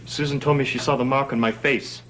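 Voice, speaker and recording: A man's voice: mid-range voice, fairly even intonation, a little fast, a bit bright, a little hiss or hum, lightly acted. An actor playing an anxious, evasive, defensive man in a 1960s television gothic soap. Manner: edgy, guarded.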